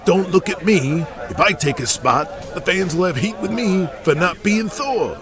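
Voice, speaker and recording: A man's voice: sits high, animated, moderate pace, music in the background. A man playing a brash, resentful, ambitious pro wrestler who complains in slang, in an audio drama. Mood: grumbling. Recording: crowd noise behind the voice. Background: arena crowd.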